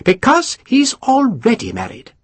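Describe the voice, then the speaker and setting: A man's voice: very high voice, wildly swooping pitch, unhurried, breathy edge, no echo, voice pushed up, heavily acted. A man voicing a foppish, sly English lord who speaks with exaggerated civility and relishes gossip. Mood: gleeful. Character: foppish high-voiced English lordship, sly and amused.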